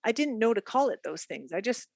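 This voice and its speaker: A woman's voice: a little high, sing-song, brisk, a little mellow, no room sound, clean studio sound. A middle-aged Canadian woman with a Canadian accent, talking openly and reflectively as a guest in a podcast interview. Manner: chatty, laughs easily, reflective. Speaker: guest art therapist.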